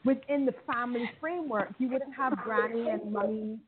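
A woman from Barbados, a panel guest speaking in a measured, articulate way. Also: on the high side, a loud crowd. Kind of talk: radio panel discussion. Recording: phone-like line with faint hiss.

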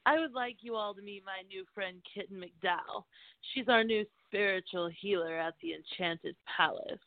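A woman playing a gentle, peace-loving friend in a comic radio play, placating and unhurried, on a tinny recording. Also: medium pitch, no room sound, lightly acted. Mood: friendly. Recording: tinny, narrow phone-like sound.

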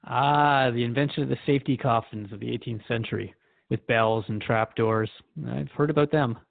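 A man's voice: medium pitch, normal speed, creaky, dry recording, phone-quality sound, natural voice. A man playing a curious, mildly skeptical radio interviewer in a scripted drama, thoughtful and conversational. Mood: musing. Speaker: radio host.

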